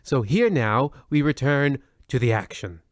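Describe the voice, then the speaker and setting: A man's voice: mid-range voice, lively intonation, unhurried, dry recording, faint music behind. A man narrating a comedic old-time radio play in a haughty, smug, dry manner, addressing the listener directly. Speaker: narrator and host.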